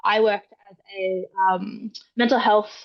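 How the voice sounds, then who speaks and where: An Australian woman in her young adult years talks through her story in loose, conversational chat. She strings thoughts together with fillers and quick quoted asides. The audio is a little dull and lo-fi. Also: mid-range voice, fairly even intonation, vocal fry. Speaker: guest author. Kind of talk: casual interview chat.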